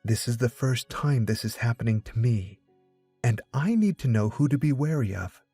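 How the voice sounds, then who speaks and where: A man's voice: mid-range voice, animated, normal speed, warm tone, slightly rough, no echo, soft background music. A man voicing a grumbling, wary teenage schoolboy in an audiobook, with a complaining manner. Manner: complaining, grudging. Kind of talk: audiobook character dialogue.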